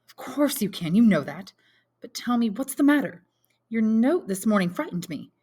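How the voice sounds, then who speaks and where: A woman reading an audiobook, voicing a concerned gentleman lover who questions urgently. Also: on the high side, very animated, normal speed, husky, pitched above the performer's own voice. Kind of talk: audiobook character dialogue.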